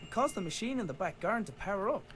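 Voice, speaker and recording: An actor playing a wry, gentle inventor's son who narrates his thoughts in an adventure game. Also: sits high, expressive, very fast, noisy background. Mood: wry, curious.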